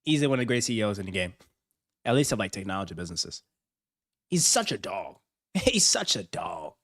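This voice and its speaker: A man's voice: fairly low pitch, big pitch swings, fairly quick, a bit bright. A man hosting a livestream, reacting to a video with hyped, slang-heavy banter and frequent laughs. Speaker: streamer host. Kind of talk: casual livestream commentary.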